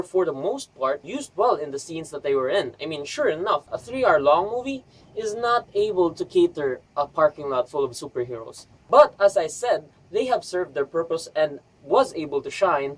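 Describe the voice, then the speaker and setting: Movie review youtuber, mid pitched voice, chatty n emphatic, tosses in little asides. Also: slightly rough, low-quality recording. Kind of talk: enthusiastic scripted movie review to camera.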